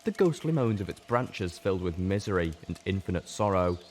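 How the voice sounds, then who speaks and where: A man with a low voice narrates fantasy fiction with theatrical intensity. He reads in a storytelling style, over clear background noise. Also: very animated, fairly quick. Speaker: reader of a fan fiction story. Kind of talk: dramatic audiobook narration.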